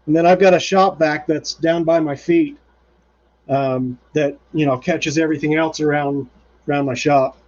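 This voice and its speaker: A man's voice: on the high side, steady tone, moderate pace, darkish tone. A man hosting a live woodturning demonstration in his home shop, talking casually with plenty of fillers and restarts. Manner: rambling, many fillers and restarts. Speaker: woodturning livestream host. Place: home woodshop. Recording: muffled lo-fi stream audio.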